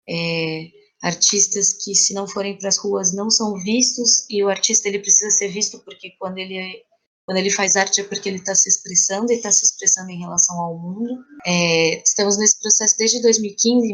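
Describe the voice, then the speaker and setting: A Brazilian woman speaking reflectively as an interview guest, pausing and repeating phrases as she thinks. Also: mid-range voice, fairly bright tone, poor audio. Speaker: playwright and theatre artist, podcast guest.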